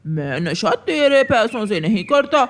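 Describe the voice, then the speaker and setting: A man's voice: sits high, sing-song, measured pace, a bit bright, heavily acted. A man voicing a selfish, swaggering drunkard crow in a cartoon story, with a bullying manner. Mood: irritated, threatening. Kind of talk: Hindi cartoon story voice acting.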